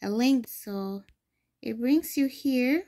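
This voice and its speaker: A woman's voice: on the high side, takes it slowly, warm tone. A young adult woman from Ghana, hosting a screen-recorded phone tutorial and explaining each step patiently. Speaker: tutorial host.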